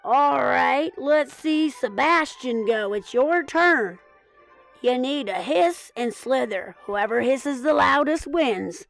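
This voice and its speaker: A woman voicing a bossy young snake who runs the game, loud and dismissive. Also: high-pitched, animated, takes it slowly, creaky, soft background music, exaggerated voice, low-quality recording.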